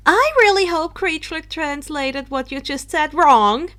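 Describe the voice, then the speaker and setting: Woman voicing Luna, an emphatic high-pitched young witch. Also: a little slow, bright, forceful tone. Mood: earnest, pleading. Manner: exclaiming, emphatic. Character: Luna, earnest indignant young witch girl.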